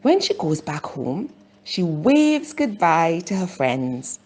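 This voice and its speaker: Slow, expressive woman reading a children's story. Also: on the low side, husky. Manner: deliberate, simple phrasing with pauses. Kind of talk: slow scripted story narration for language learners.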